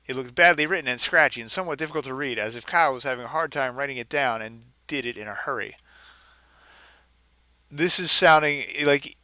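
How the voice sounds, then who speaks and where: A man's voice: mid-range voice, sharp tone, no echo. A man doing a mocking dramatic read-aloud for a gaming stream, breaking off for sarcastic, exasperated asides. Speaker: video game streamer reading a story aloud. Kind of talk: mocking dramatic reading with casual commentary.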